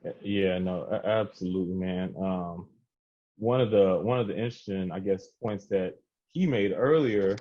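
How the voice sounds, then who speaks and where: A man hosting a round-table podcast in a laid-back, colloquial way, with halting phrasing. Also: sits low, lo-fi sound. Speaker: podcast host. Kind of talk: casual chat, moderating a round table.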